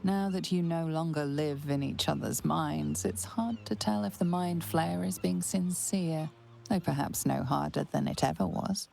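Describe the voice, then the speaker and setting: Female narrator for a dark fantasy game: slow, very gravelly, ominous and grave, portentous storytelling. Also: heavily acted. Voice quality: gravelly, creaky low voice. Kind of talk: scripted dramatic game narration. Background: music.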